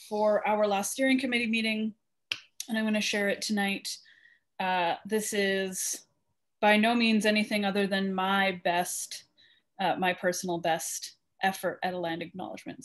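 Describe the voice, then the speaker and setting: A woman with a Canadian accent, a guest speaker at an online meeting, reading a prepared personal statement over a video call. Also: medium pitch.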